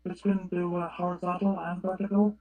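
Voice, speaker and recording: A man from Canada with a high-pitched voice talks on a radio club net. His style is casual and anecdotal, like a hobbyist chatting with friends. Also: flat delivery, a little fast, phone-quality sound. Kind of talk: casual chat, telling an anecdote.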